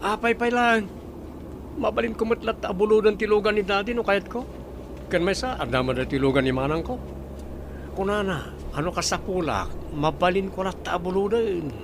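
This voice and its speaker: An actor with an Ilocano accent playing a spoiled, boastful and touchy young boyfriend in a radio drama. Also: male voice, sits high, moderate pace. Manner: boastful, petulant. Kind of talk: Filipino radio drama acting. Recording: noisy, vehicle sound behind.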